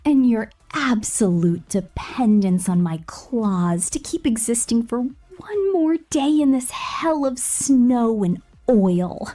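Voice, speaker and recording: A woman's voice: on the low side, warm tone. A woman playing a possessive, contemptuous killer robot girl in an ASMR villain roleplay, theatrical and domineering, often dropping into a whisper.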